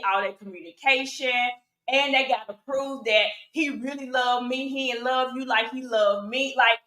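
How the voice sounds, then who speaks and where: A woman co-hosting a reality TV opinion panel in a casual chat, animated and opinionated, with lots of exclamations. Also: on the high side. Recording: echoey room.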